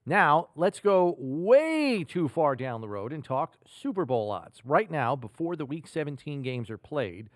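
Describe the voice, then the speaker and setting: A man's voice: on the high side, very animated, measured pace, hardly any pauses, dry recording, studio quality. A man with an American accent hosting a sports podcast, asking questions and laying out analysis.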